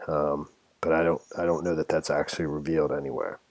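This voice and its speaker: Deep-voiced man in halting news commentary. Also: little pitch movement, a little mellow, no echo. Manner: halting, rambling, stumbles over words. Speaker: host commenting on a news story. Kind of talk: informal news commentary, part reading aloud and part ad-libbing.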